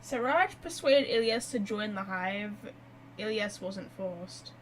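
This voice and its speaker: A woman's voice: mid-range voice, animated, slow, low-quality recording. A woman giving casual game playthrough commentary, chatty and reactive, thinking aloud. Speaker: gamer, streamer. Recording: faint background noise.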